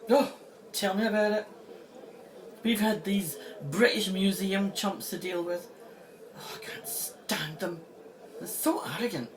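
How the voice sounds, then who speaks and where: A woman's voice: mid-range voice, unhurried, long pauses, a little hiss or hum, natural voice, low-quality recording. A woman playing a spirited, wry, plain-spoken Egyptologist in an audio drama. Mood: wry, chatty.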